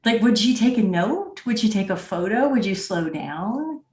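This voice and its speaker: A woman's voice: medium pitch, big pitch swings, normal speed, a little mellow. A woman hosting a podcast, interviewing in a conversational way, recorded with an echoey room sound.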